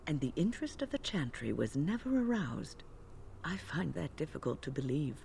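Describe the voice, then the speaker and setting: A calm older mage woman. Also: deep voice, moderate pace, vocal fry, slight background noise, exaggerated voice. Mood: earnest. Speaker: mage companion in a video game.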